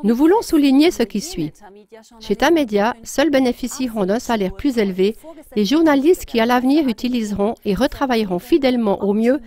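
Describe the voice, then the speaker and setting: A woman's voice: on the high side, lively intonation, a little fast, mellow. Woman reading earnest scripted commentary. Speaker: news commentator.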